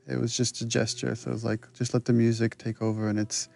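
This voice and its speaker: A man's voice: medium pitch, level tone, fast, vocal fry, darkish tone. A man giving casual, explanatory behind-the-scenes commentary as a creator.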